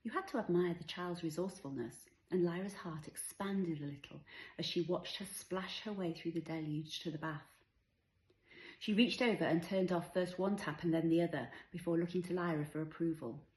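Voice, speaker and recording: A woman's voice: fairly low pitch, normal speed, darkish tone, roomy sound, poor audio. A woman reading her own novel aloud as scripted story narration.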